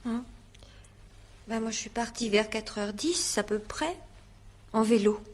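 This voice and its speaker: A woman's voice: on the high side, measured pace. An actress playing a naive, chatty eighteen-year-old housemaid in a French radio mystery, stammering and rambling as she gives testimony. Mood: agitated, earnest. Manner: stammering, rambling, emphatic, colloquial.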